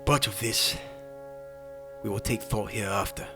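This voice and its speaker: A man's voice: on the low side, unhurried. A man playing an enraged, arrogant king in a dramatized epic audiobook, declaiming with threats and haughty command, over music.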